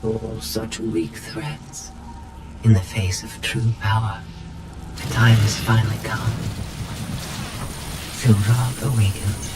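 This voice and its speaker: A cold machine villain who scorns the living. His low voice is measured and grand, full of contempt, in dramatic game style. Music plays strongly behind him. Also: flat delivery, low-quality recording. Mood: scornful triumph. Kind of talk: video game voice acting.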